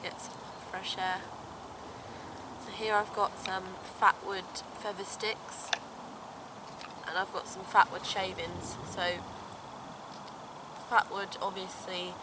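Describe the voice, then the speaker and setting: A young woman with a croaky voice from illness, casually demonstrating bushcraft in the woods as she kneels and works. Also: mid-range voice, level tone, slow, long pauses. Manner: chatty, talks while whittling and striking sparks. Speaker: bushcraft vlogger. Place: pine woods outdoors. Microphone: camera on tripod, kneeling a few metres off. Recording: loud outdoor background noise.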